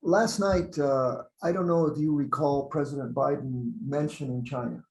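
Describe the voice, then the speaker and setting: A man's voice: medium pitch, moderate pace, darkish tone. A man speaking as a panelist in an academic discussion, conversational and hesitant, with many fillers. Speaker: panelist, survey director. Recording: echoey room.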